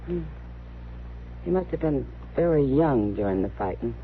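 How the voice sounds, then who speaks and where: A woman's voice: a bass voice, expressive, moderate pace. An actress playing a kind, sympathetic, plain-spoken frontier woman in an old radio western. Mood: concerned. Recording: muffled, noisy old radio recording.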